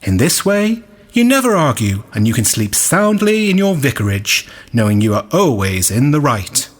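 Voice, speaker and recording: A man's voice: medium pitch, big pitch swings, moderate pace. A man playing a vain, self-satisfied, sanctimonious vicar giving a pompous, preachy comic radio sermon. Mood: smug, preachy.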